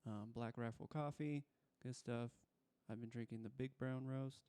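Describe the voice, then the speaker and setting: A man's voice: mid-range voice, steady tone, normal speed, dark tone, clear, resonant tone, no room sound. A man hosting a casual interview podcast, talking in a laid-back, slangy way. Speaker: podcast host.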